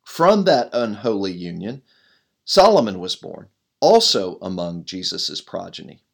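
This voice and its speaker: A middle-aged pastor with a low, gravelly voice reads his own devotional writing aloud. He is loud and earnest, pressing hard on the words that matter most. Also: big pitch swings, measured pace. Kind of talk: reading a devotional blog as a podcast.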